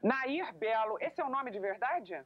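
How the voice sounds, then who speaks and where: A woman's voice: fairly high pitch, animated, a little slow. A woman hosting a talk show, pressing her guest with questions to get the details in order. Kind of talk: probing interview. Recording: dull, lo-fi 1990s TV audio.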